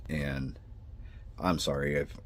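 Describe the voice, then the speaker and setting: Very deep, slow-talking rambling man. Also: flat delivery. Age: adult with a son. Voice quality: nasal. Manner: meandering, self-interrupting, apologetic. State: congested from sinus trouble. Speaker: scale model builder. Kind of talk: rambling hobby vlog.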